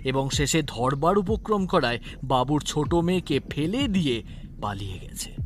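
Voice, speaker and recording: A man's voice: fairly high pitch, sing-song, moderate pace, a little hiss or hum. A man narrating a scripted story in a dramatic style.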